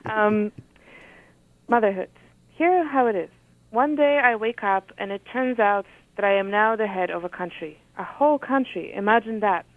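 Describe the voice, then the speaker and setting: A woman whose first language is Polish, an author reading from her book and talking thoughtfully with many pauses. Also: mid-range voice, no echo. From Poland. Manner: thoughtful, hesitant with frequent ums. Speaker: author, guest. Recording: phone-like radio audio.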